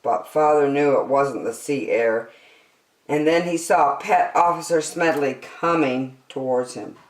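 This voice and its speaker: A woman reading a children's picture book aloud as a story-time host, with an expressive, dramatic delivery. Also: low-pitched, unhurried. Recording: echoey room.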